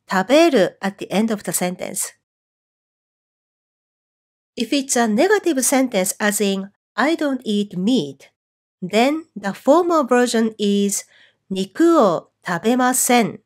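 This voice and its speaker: Measured woman teaching Japanese. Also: a little high. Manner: clear, measured explaining, slowing on example phrases. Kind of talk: scripted online lesson.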